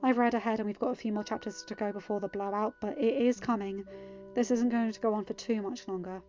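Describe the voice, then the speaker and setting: A woman reading a story aloud as an expressive amateur podfic narrator. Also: mid-range voice, fast talker, lo-fi sound. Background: music.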